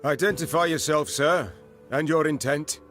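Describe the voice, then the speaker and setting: An actor playing a loyal, cautious royal officer in a game, polite, formal and measured. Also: male voice, a little high, steady tone, a bit bright, soft background music, close to an everyday voice. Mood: wary. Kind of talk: dramatic scripted game dialogue.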